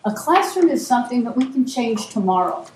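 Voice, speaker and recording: A woman's voice: fairly high pitch, sing-song, fairly quick, a little mellow. A middle-aged woman directing a university program, opening a workshop with a casual talk, her voice weak and strained, full of ums and restarts. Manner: conversational, many ums and restarts. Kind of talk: welcoming talk to a live audience. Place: university seminar room. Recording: echoey room, voice from far off, clear noise.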